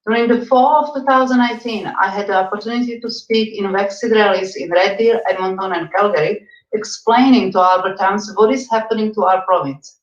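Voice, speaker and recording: A woman's voice: medium pitch, a little fast. A woman from Czechoslovakia, reading a firm, formal campaign statement. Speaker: party regional director candidate. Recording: echoey, dull sound.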